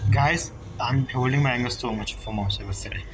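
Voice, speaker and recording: A man's voice: medium pitch, brisk, loud music behind the voice, poor audio. A man giving casual, informal playthrough commentary as a game streamer, with non-native English phrasing.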